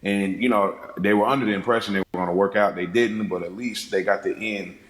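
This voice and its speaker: A man with a fairly low voice, heard in a very roomy, echoing space. He is a podcast guest discussing film in an informal, rambling way. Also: fairly even intonation, a little fast.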